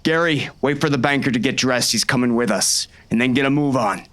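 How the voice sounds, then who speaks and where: An actor playing a hard, commanding western gang boss, stern and clipped, in an audiobook. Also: male voice, mid-range voice, a little fast, a bit bright, lightly acted. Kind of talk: first-person western audiobook narration and dialogue.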